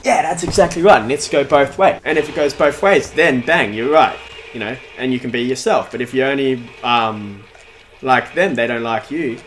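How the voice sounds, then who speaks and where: A man's voice: medium pitch, normal speed, fairly bright tone, slight background noise. A nineteen-year-old man streaming a game, chatting casually in a rambling, talkative way while answering chat, with a voice that sounds younger than his age. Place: at home in front of a big TV.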